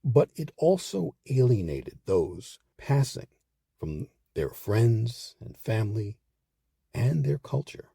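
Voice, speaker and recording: A man hosting and narrating a scripted history program with deliberate, dramatic phrasing. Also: lowish voice, gravelly, dark tone, breathy edge, no room sound, clean studio sound. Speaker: host and narrator.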